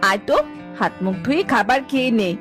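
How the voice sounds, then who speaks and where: A woman's voice: on the high side, animated, brisk, lightly acted. A woman voicing a protective village elder in a cartoon, fussing over a child with firm commands. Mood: coaxing, weary. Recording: music behind the voice.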